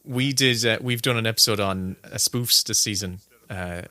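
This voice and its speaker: A man co-hosting a film commentary podcast, excitable and quick to laugh, with many hesitant fillers. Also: fairly low pitch, no echo. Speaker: podcast co-host. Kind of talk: casual film commentary chat.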